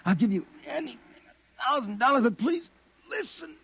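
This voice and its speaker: An actor playing a desperate, drugged private detective, speaking in halting pleas with a husky voice in 1950s radio drama style. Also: male voice, extremely high pitch, lively intonation, bright, forceful tone, full character voice. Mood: desperate, pleading. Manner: halting, stammering, pleading.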